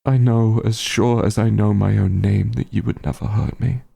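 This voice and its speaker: A man playing a remorseful werewolf hunter in an intimate fantasy audio roleplay, speaking gently and haltingly with a husky, emotional voice. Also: on the low side, steady tone, exaggerated voice. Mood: relieved, affectionate. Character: werewolf hunter, tender and remorseful, reunited with lost friend. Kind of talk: roleplay audio drama, intimate monologue to listener.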